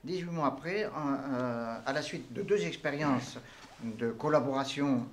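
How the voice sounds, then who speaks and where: A man's voice: medium pitch, unhurried. An older man from Tunisia, answering interview questions in formal, elaborate phrasing with frequent hesitations. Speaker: entrepreneur guest.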